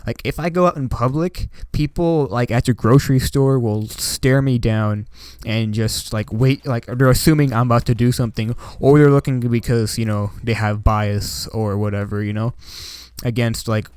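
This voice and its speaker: A man's voice: mid-range voice, steady tone, normal speed, darkish tone, smooth, dry recording. A young man from Washington State, the channel host, telling a story in a casual, rambling way with lots of "like" and "you know". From Washington State, United States.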